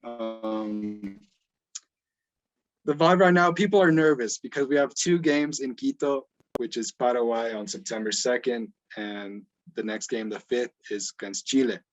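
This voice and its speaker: A man's voice: medium pitch, measured pace, rough recording. A man from Ecuador who grew up in the United States, giving casual answers as a guest on a football podcast. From born in Ecuador, grew up in the United States. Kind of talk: casual interview talk.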